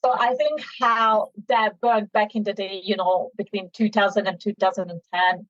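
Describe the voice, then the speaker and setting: A woman's voice: mid-range voice, fairly even intonation, moderate pace, a bit bright, dry recording. Hungarian-accented woman, dull close recording. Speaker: podcast guest, small business owner. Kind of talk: conversational interview answers. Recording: dull, band-limited audio.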